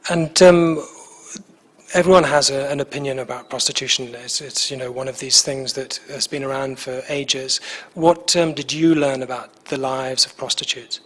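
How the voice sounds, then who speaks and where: A man's voice: medium pitch, fairly even intonation, normal speed, fairly bright tone. A man working as an interviewer, asking questions conversationally with informal, hesitant phrasing.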